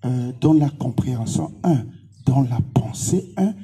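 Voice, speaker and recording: A man's voice: medium pitch, expressive, measured pace, dark tone, slightly rough. A man with a Congolese accent, a pastor answering interview questions in short, emphatic, repeated declarations.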